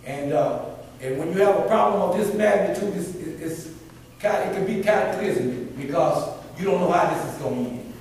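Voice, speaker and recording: A man's voice: a little high, expressive, normal speed, darkish tone, a little hiss or hum. Man speaking in an echoing hall. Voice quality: big voice. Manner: earnest, rambling, preacher-like appeals. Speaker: public commenter at a board meeting. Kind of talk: public comment speech. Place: large echoey meeting hall. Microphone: room mic from a distance. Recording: echoey hall from far off.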